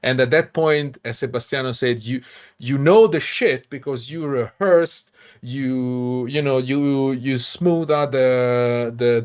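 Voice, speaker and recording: A man, a podcast host, talking casually in a halting way with many restarts and fillers. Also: mid-range voice, smooth. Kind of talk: casual panel chat.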